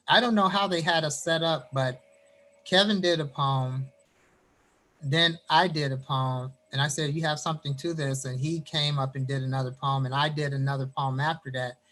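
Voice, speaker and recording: A man's voice: on the high side, low-quality recording. A man from Indiana, a poet, reading his poem and then chatting about his writing over a video call. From Gary, Indiana. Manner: animated storyteller, quick bursts. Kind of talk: poetry reading, then casual chat.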